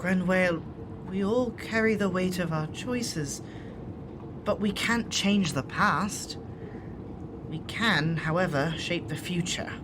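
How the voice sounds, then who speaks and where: A woman's voice: fairly low pitch, takes it slowly, noisy background. A woman playing an earnest, persuasive exiled shapeshifter in a tabletop roleplay.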